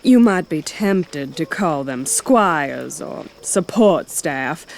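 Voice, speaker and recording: A woman playing a haughty elven knight commander in a fantasy audio drama, speaking in a measured, formal, imperious way. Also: deep voice, animated, gravelly, heavily acted. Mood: cool, commanding.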